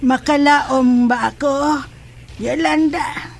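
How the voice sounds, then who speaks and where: A woman playing a grave old mother with secret powers in a horror audio drama, speaking in a deliberate, solemn way. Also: high voice, steady tone, a little hiss or hum. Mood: solemn, secretive.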